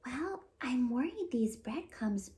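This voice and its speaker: A woman voicing a polite, worried good witch from a storybook, pleading in a drawn-out way. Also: sits high, very animated, mellow, voice pushed up, exaggerated voice.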